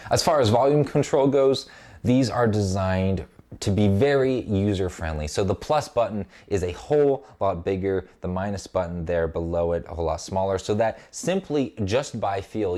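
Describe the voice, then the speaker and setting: Low-voiced man presenting a product review. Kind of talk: scripted product review narration.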